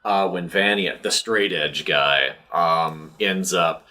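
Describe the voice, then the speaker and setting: A man's voice: deep voice, slow, sharp tone. A man co-hosting a casual film podcast, chatting with friends in a jokey, wry way. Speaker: podcast co-host.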